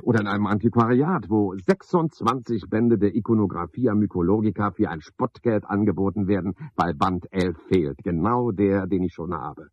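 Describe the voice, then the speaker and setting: An actor playing a garrulous, pompous, sardonic porter at a boarding house, with a husky voice, in a radio play monologue. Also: male voice, fairly low pitch, normal speed, hardly any pauses, no echo, dull audio. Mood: scornful, self-satisfied. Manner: rambling, pompous monologue with mocking asides. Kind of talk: 1970s German radio play acting.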